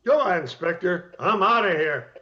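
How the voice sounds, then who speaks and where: A hapless, edgy fellow acted by a man in a noir radio comedy, with a very high voice that swings up and down. The audio is dull and muffled. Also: expressive, moderate pace, heavy background noise. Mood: sulky. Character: jittery man constantly on edge, hapless suspect.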